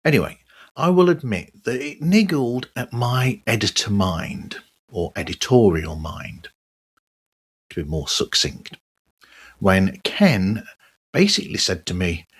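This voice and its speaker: A man's voice: lowish voice, very animated, measured pace, husky. A man hosting a podcast monologue in a personal style, dropping wry, sardonic asides as he goes. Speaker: podcast host.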